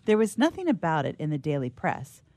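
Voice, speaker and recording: Deep, mellow woman storyteller. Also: wildly swooping pitch, a little fast, no room sound. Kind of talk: literary story read aloud.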